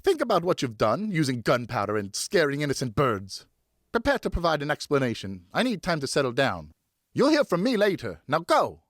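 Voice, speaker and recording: A man's voice: fairly high pitch, wildly swooping pitch, brisk, no room sound, clean studio sound. A man voicing a stern, outraged woman in an audiobook, scolding with emphatic, indignant commands. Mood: stern, distressed. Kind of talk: audiobook character voice.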